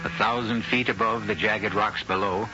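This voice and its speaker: A man narrates a radio mystery in a low, measured, dramatic way. His voice comes through a dull old broadcast with music underneath. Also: steady tone. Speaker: narrator and host.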